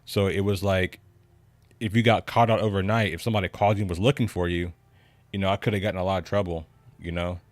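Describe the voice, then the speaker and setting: Young male streamer, low voice, relaxed rambling chat full of fillers. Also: fast talker. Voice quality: deep voice. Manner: laid-back, rambling, lots of "man" and "you know". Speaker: game streamer. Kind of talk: casual livestream chat.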